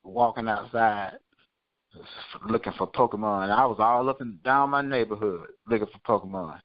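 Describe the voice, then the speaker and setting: A man's voice: on the low side, moderate pace, no room sound, dull audio. A man hosting a podcast, talking casually with his co-hosts and listeners. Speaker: podcast host. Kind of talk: casual podcast chat and sign-off.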